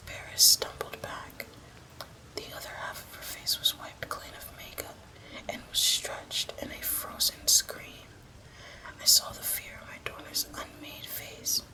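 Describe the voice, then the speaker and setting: Female ASMR horror narrator. Breathy whisper throughout, hushed and deliberate storytelling. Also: low-quality recording.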